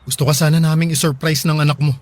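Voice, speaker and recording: A man's voice: on the high side, little pitch movement, fast talker, a little mellow, no echo, close to an everyday voice. A male actor playing an earnest husband and father in a radio drama. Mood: hopeful, polite. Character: earnest husband and father, trusting, then suspicious.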